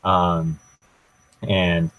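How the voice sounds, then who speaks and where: Low, monotone young man presenting, halting delivery. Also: dull audio. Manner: hesitant, halting, informal. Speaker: student presenter. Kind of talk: technical project presentation with live software demo.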